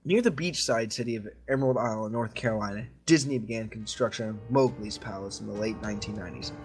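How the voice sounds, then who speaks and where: A man's voice: lowish voice, fast. Man reading a story over music. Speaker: story reader. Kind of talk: reading a creepy story aloud among friends.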